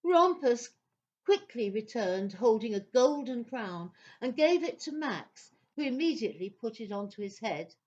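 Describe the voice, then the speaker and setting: Slow, high-voiced woman storyteller. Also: sing-song, darkish tone, muffled recording. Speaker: narrator. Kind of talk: scripted children's story narration.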